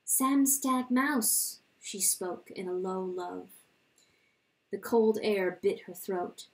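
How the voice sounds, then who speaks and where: A woman reading a literary story dramatically, in a solemn, deliberate narrating style. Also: fairly low pitch, little pitch movement, mellow, far from the mic, poor audio. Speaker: audiobook reader.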